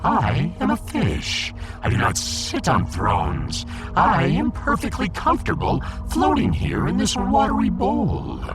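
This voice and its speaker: A man's voice: on the high side, wildly swooping pitch, a little slow, music in the background. A man voicing a pompous, gloomy little alien fish emperor in a sci-fi audiodrama, theatrical, long-winded and imperious. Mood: imperious, menacing. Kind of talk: sci-fi audiodrama character acting.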